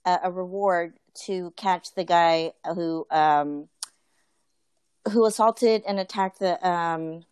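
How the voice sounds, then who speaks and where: A woman's voice: on the low side, steady tone, takes it slowly, darkish tone, clean tone, no echo. A woman in a casual group conversation, talking earnestly with many fillers and restarts. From Filipino family.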